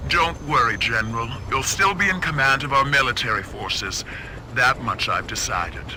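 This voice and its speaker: Calculating American agency chief. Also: male voice, medium pitch, lively intonation, bright, forceful tone, a little hiss or hum. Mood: cool, calculating. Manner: measured, formal, persuasive.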